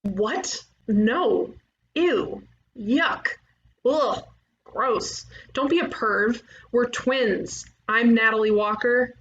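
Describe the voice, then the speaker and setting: Female detective, 30, actress at a table read: rugged, bossy, blunt, higher voice, lo-fi cartoon script recording. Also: very slow, fairly bright tone, heavily acted. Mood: disgusted, blunt. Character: headstrong, rugged thirty-year-old detective twin sister. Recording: muffled lo-fi remote microphone, faint noise.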